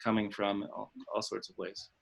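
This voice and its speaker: A man hosting an online webinar discussion, speaking in a thoughtful, tentative and halting academic manner. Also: on the low side, little pitch movement, creaky. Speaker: webinar host and facilitator. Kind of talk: hesitant, thoughtful facilitated discussion. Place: online video call.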